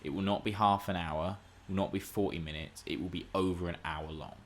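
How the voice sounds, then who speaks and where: A man's voice: deep voice, steady tone, brisk. A man hosting a podcast, chatting casually about pop culture in an opinionated, theatrical way. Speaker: podcast host.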